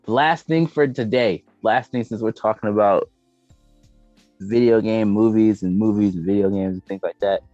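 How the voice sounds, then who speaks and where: A low-pitched American man speaks as a podcast host, emphatic and blunt, with plenty of slang and swearing. The sound is dull and lo-fi, with faint music playing behind him. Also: moderate pace, mellow, no room sound. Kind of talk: casual opinionated chat about games and movies.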